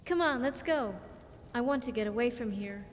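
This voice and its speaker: Earnest, high-voiced young woman survivor, acted by a woman. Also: animated, normal speed, big-room sound, a little hiss or hum, natural voice. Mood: calm, uncertain. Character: young woman vault survivor companion, wistful, earnest and grateful. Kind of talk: video game companion dialogue.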